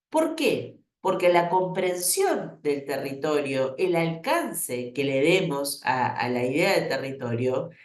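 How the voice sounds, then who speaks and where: A woman in her fifties from La Plata, Argentina, with a Rioplatense accent, giving a university lecture in a chatty way, full of fillers and lively rises. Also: sits low, roomy sound. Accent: Rioplatense Argentine Spanish. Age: 50s. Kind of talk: lecture, thinking aloud.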